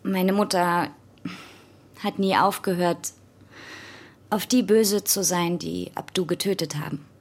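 A woman's voice: lowish voice, fairly even intonation, slow pace, pauses often. A young actress playing a dry, challenging teenage girl in naturalistic radio drama. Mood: serious, reflective. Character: sardonic, blunt teenage girl living with her parents.

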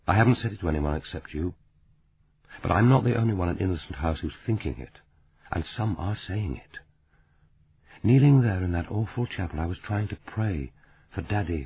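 A wounded, bitter young woman in her late twenties speaks low and soft, as a man reads her part. The voice is husky and dark. The sound is thin and tinny, like a phone line. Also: heavily acted.

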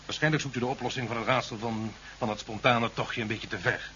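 An actor as a brisk, sharp-voiced president. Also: male voice, medium pitch, steady tone, natural voice. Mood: evasive, dismissive. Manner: formal, dismissive, brisk. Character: evasive, irritated president worried about elections. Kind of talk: 1970s science fiction radio play acting. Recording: dull, slightly hissy 1970s radio recording.

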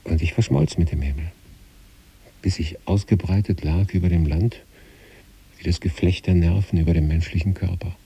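Very dark, deep husky male narrator. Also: fairly even intonation, moderate pace. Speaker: narrator reading a literary story. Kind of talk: scripted literary narration in the first person, as letters.

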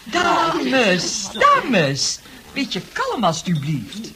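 An actress playing a brisk, patient nurse in a radio play, admonishing her charges in a measured way. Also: a little high, wildly swooping pitch, exaggerated voice. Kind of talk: Dutch radio play acting. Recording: noisy old radio drama recording.

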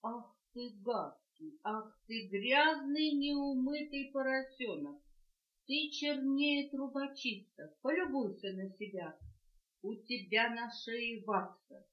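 Lady putting on a bossy talking washbasin guy, loud and scolding, dull old tape sound. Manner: scolding, booming declamation. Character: stern grand male talking washbasin commander. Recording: echoey dull cassette tape.

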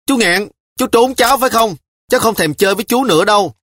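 A man's voice: fairly high pitch, animated, fast, a bit bright, no room sound, studio quality, pitched above the performer's own voice. A man voicing a stubborn, sulky little girl of about ten who pleads and insists in an audiobook. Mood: insistent. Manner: pleading, insistent child's whine.

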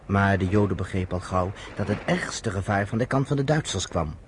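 Actor as a low-voiced storyteller in radio drama. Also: male voice, lightly acted. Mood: measured, serious. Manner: measured, explanatory storytelling. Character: man recounting recent history to a woman friend. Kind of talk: Dutch radio play narration. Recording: noisy old radio play recording.

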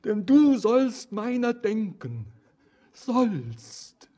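A haunted young man in a radio drama, with a husky, mellow voice. He speaks slowly in solemn, poetic declamation, brooding and pleading as if resigned to his fate. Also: sits high, sing-song, exaggerated voice. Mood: desperate pleading. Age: young adult. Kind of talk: literary German radio play acting.

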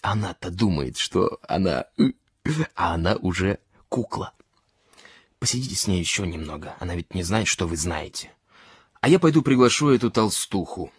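A man voicing a small melancholy gentleman in a literary story, shy and eccentric, speaking hesitantly and confidingly with distinct words. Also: low-pitched, lively intonation, moderate pace, slightly rough, dry recording, studio quality. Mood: secretive, hushed.

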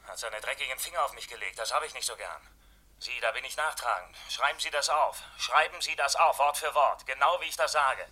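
An actor playing an embittered escaped convict, curt, insistent and menacing, in a German radio crime drama. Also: male voice, medium pitch, a little fast, bright, forceful tone, husky. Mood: vengeful, menacing.

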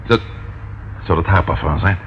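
An actor playing a detective's excitable, grumbling companion in a Dutch radio play, expressive and easily startled. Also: male voice, sits low, normal speed, highs cut off. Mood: curious, grumbling. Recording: noisy old radio drama recording.

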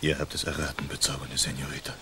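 An actor playing a bold, charming masked outlaw in a radio play, courting in a hushed, courtly, seductive manner. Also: male voice, rumbling low, level tone, quick pace, a little hiss or hum. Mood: hushed, gallant.

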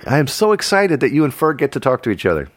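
A man hosting a casual podcast chat, talking in an excitable, jokey way. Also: medium pitch, fast, no room sound. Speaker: podcast host.

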